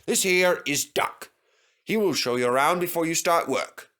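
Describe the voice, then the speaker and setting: A man's voice: fairly high pitch, normal speed, pushed and bright, pitched above the performer's own voice. A man voicing an obliging railway inspector in a children's story, polite and deferential. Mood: friendly.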